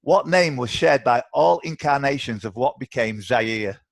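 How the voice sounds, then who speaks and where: A man's voice: mid-range voice, lively intonation, measured pace, creaky, no room sound. A man hosting a live online quiz, chatty and encouraging as he reads questions aloud. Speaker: quiz host. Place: online video call.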